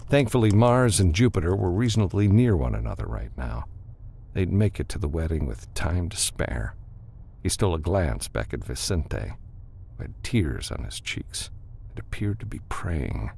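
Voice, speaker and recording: A man narrating a science fiction audiobook in a measured, expressive storytelling style. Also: sits low, vocal fry, breathy edge.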